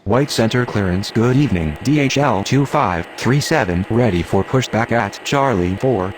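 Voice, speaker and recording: Man playing low-voiced male air traffic operator. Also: lively intonation, moderate pace, lightly acted. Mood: businesslike. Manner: formal, procedural. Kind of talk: clipped, scripted radio phraseology.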